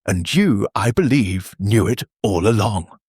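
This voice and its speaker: A man voicing a placid, shrewd elderly village lady sleuth, with a lilting, calm but cutting delivery in an audiobook mystery. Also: lowish voice, a little slow, husky, no echo, clean studio sound.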